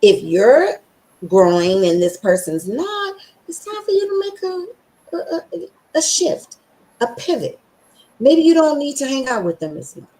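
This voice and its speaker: A woman hosting a podcast, with a scratchy voice, talking with excited emphasis and slowly repeating affirmations. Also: sits high. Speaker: podcast host.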